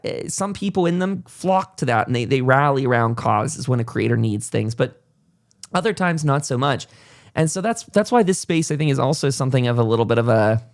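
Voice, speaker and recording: A man hosting a casual interview podcast, talking at length in a reflective, conversational way. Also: medium pitch. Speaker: podcast host.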